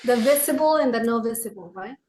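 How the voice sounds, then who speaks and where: A woman's voice: a little high, lively intonation, warm tone. A middle-aged woman from Peru with a Peruvian Spanish accent, answering reflectively as an interview guest and searching for her words. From Peru, raised in Lima. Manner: searching for words, halting phrasing. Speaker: podcast guest, author and wellness consultant.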